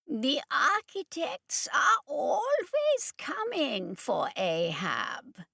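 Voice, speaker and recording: A woman's voice: a little high, wildly swooping pitch, slow, gravelly, fairly bright tone, no room sound, clean studio sound, voice pushed up, full character voice. A woman voicing a nervous, hysterical male scientist who talks in a fussy, formal way. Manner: fussy, formal, giggling, jittery.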